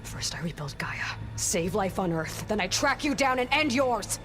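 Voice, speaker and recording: A woman's voice: mid-range voice, very animated, normal speed, over music, close to an everyday voice. Defiant young warrior woman, played by an actress. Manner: muttering to self, sharp-tongued. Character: defiant, determined young female warrior, a clone. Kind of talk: cinematic video game acting.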